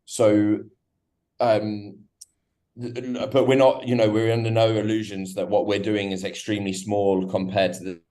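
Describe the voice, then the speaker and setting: Low, flat-voiced man on a call. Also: unhurried. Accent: foreign-sounding accent, South African company. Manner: hesitant, many restarts and ums. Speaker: guest, company co-founder. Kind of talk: radio interview over a call.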